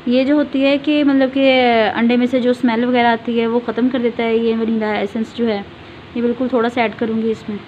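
A woman demonstrates a homemade cake recipe in a patient, instructive way, often telling viewers to look closely. Her voice sits a little high with a flat, even melody. The phone recording sounds a bit dull, with faint ambient noise behind her. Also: fairly quick. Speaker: home cooking channel host. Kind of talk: step-by-step cooking demonstration.

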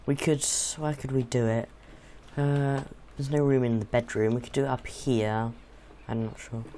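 A young man with a mildly croaky voice from a sore throat, bantering casually with his dad during a game. He teases and hesitates, filling gaps with ums, and speaks into a home gaming mic. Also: mid-range voice, fairly even intonation, moderate pace, warm tone, slight background noise. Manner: chatty, teasing, hesitant with frequent ums. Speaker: co-host playing a game with his father.

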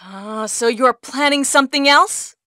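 An actress playing a brave young heroine in a video game, earnest and deliberate, with stilted delivery. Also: female voice, high-pitched, sharp tone, dry recording, clean studio sound. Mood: accusing. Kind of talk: video game cutscene acting.

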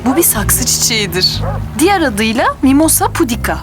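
A woman's voice: high-pitched, sing-song, a little fast, over loud music. A woman playing a caring, hospitable mother of a young boy in a children's cartoon, motherly in manner. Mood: explaining. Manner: motherly, explanatory. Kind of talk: animated children's cartoon dubbing.

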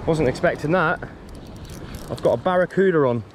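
An excitable man talks himself through fishing at the water's edge, his somewhat high voice jumping into shouts and swearing. Wind and sea noise wash clearly around him outdoors. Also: moderate pace. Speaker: angler filming himself. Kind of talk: live excited commentary while fishing. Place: rocky seashore outdoors. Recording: wind and waves at a shoreline. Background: wind and sea ambience.

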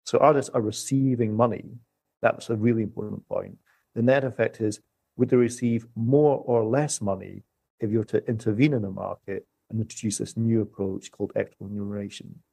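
A man guest on a podcast explaining economics in a measured way. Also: on the low side, steady tone, creaky, mellow, breathy edge. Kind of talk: podcast discussion.

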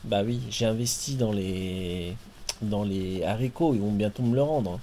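Male streamer, chatty and playful, cusses lightly, relaxed gaming talk. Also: fairly low pitch, unhurried, a little hiss or hum. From France. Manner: chatty, jokey, playful swearing. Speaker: streamer and host. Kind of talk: casual chat while playing a farming game.

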